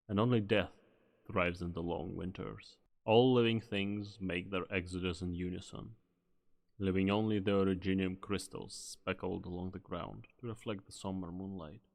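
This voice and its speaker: A man's voice: deep voice, steady tone, moderate pace, gravelly. A man playing a solemn storyteller, giving poetic scripted narration in an amateur game fan dub. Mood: somber. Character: somber male storyteller recalling a bleak winter land.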